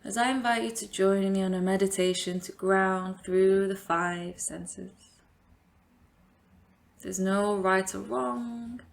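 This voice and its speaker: A woman leading a guided meditation as a yoga teacher, giving gentle, invitational instructions with long pauses. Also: mid-range voice, gravelly.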